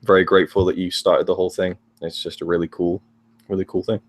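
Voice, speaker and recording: A man's voice: low-pitched, little pitch movement, fast. A young man talking as a guest on a casual live stream chat, hesitant and often repeating words as he starts sentences.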